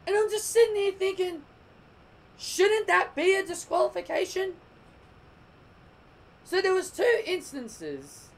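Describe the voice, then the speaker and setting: A man giving a casual, rambling wrestling review, often restarting his sentences, his voice croaking. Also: extremely high pitch, expressive, unhurried, pauses often. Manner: rambling, restarts and corrects himself.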